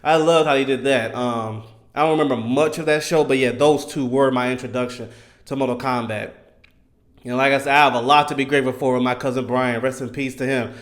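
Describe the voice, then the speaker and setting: A man in his thirties giving casual, enthusiastic fan commentary in an animated, emphatic, slangy way. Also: medium pitch, brisk. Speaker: gaming content creator.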